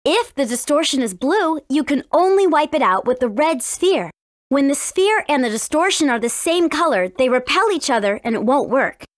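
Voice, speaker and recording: A woman voicing a brave, friendly teenage warrior princess in a video game, with an earnest, clearly spoken manner. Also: sits high, lively intonation, normal speed, dry recording, clean studio sound. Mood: explaining, earnest. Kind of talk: scripted video game character dialogue.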